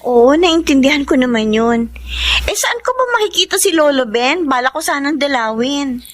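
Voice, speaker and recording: A woman's voice: high-pitched, animated, moderate pace. A woman playing a caring, respectful young granddaughter in a Filipino radio drama, polite and earnest. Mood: concerned.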